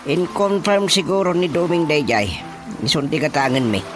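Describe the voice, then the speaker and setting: An actor playing a practical village chairman with a Filipino accent, explaining things in a measured, matter-of-fact way in a radio drama. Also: a little high, animated, natural voice. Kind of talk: Ilocano radio drama acting. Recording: radio drama with music underneath.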